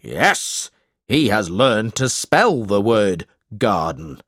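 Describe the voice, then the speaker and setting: A man voicing a cruel, boastful male schoolmaster in an audiobook story, speaking in a loud, blustering, bullying way. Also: lowish voice, sing-song, slow, dry recording, clean studio sound, heavily acted. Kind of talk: audiobook character reading.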